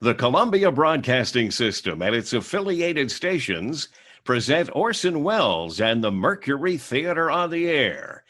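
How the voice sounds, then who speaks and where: A man's voice: medium pitch, very animated, unhurried, fairly bright tone. A man as a grand 1930s radio announcer. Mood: announcing. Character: formal 1930s male radio network announcer.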